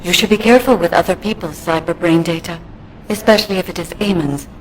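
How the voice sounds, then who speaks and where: A woman acts as a protective digital guide in a video game. She is composed and formal, speaking precisely in a slightly low voice. There is faint noise behind her. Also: quick pace, natural voice, poor audio. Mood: calm concern. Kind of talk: video game dialogue acting.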